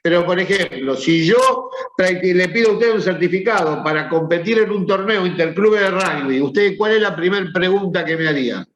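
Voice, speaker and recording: Male teacher, Rioplatense accent, higher voice, chatty and questioning, echoey classroom, muffled recording. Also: normal speed, hardly any pauses, a bit bright, slight background noise. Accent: Rioplatense Spanish. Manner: conversational, asks the class questions. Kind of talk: classroom lecture. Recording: echoey room, dull sound.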